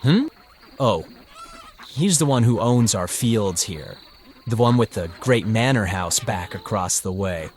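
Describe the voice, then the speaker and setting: An actor playing a lively, teasing young man in an audio story. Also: lowish voice, measured pace, a little hiss or hum. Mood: eager, chatty. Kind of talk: acted dialogue in an audio story.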